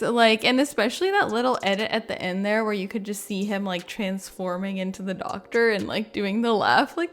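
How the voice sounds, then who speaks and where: A woman's voice: medium pitch, fairly bright tone. A woman giving casual, informal reaction commentary on video clips, rambling and leaning on filler words like "like". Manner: informal, rambling, heavy use of "like". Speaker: gaming video creator.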